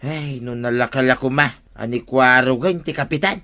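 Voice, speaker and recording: A man's voice: mid-range voice, normal speed, sharp tone, lightly acted. An actor playing an offended, grumbling village man in a radio comedy drama, plain-spoken, with an Ilocano Filipino accent.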